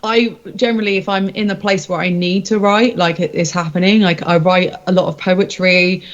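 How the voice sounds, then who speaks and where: A woman's voice: lowish voice, steady tone, normal speed, lo-fi sound. A woman, a rock singer-songwriter, chatting casually in an interview in an informal, rambling, self-deprecating way.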